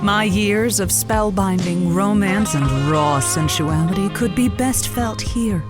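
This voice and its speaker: A woman's voice: medium pitch, takes it slowly, over loud music, lightly acted. A woman playing a flustered novice radio advice host in an audio comedy, theatrical and flowery, given to nervous stammers. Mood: grand, breathy showmanship. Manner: flowery, grandiose on air, stammering when nervous. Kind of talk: audio comedy drama acting.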